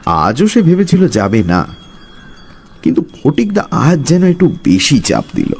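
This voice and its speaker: A man telling a story as a dramatic, scripted narration in a husky voice. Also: on the high side, wildly swooping pitch, a little slow, a little mellow, slight background noise.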